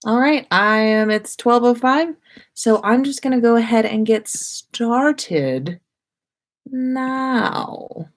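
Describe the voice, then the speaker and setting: Unhurried woman chatting on a livestream. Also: a little high, lively intonation. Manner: chatty, informal, greeting listeners by name. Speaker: livestream host and podcaster. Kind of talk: casual livestream chat.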